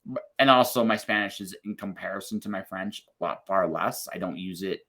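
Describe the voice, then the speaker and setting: A man hosting a podcast interview over a video call, upbeat and encouraging, talking in quick bursts. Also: low voice, bright, forceful tone. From Canada. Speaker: podcast host. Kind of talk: casual interview chat.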